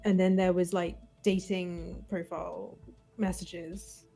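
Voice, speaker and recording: Mellow, creaky-voiced woman commenting casually. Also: on the low side, flat delivery, slow, faint music behind. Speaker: game playthrough co-host. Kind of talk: casual, reflective let's-play commentary.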